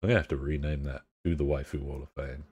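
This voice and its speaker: Deep-voiced, swearing male game streamer. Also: fairly even intonation, fast talker, a little mellow, husky, dry recording. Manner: profane, laid-back banter with chat. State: congested, bunged up. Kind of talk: casual live-stream chat.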